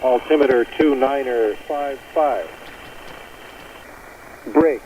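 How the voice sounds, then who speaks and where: A man's mid-pitched voice comes through a noisy shortwave radio speaker. He reads a military aviation weather bulletin in set phraseology, clipped and formal, in a monotone. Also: takes it slowly, lo-fi sound.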